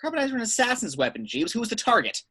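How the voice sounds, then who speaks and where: A man playing a gruff, blunt veteran secret agent with clipped, wry lines in an amateur table read. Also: very high-pitched, huge pitch swings, racing, bright, forceful tone, heavily acted. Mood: angry, commanding. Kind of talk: amateur at-home table read of a film script.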